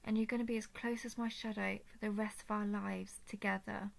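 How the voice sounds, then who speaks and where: A woman playing a possessive, desperate female dragon in an intimate roleplay audio drama, with a breathy voice that swings between tender and frantic. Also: medium pitch, flat delivery, a little fast, lightly acted, low-quality recording. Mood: possessive, cooing.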